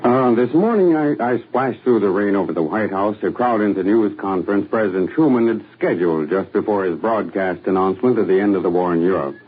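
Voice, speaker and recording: A man's voice: fairly low pitch, fairly quick, few pauses, phone-quality sound, a little hiss or hum. An American man giving an eyewitness report as a 1940s radio news reporter, on an old broadcast recording.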